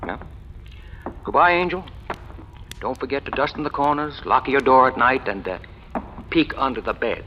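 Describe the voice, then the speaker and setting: A man's voice: medium pitch, animated, moderate pace, long pauses, slight background noise, close to an everyday voice. An actor playing a hard-boiled, scheming small-time crook who narrates his own rise in clipped, wisecracking slang, in old radio noir style. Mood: cool, calculating. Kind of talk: 1940s-50s radio noir acting. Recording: dull old radio recording, music behind.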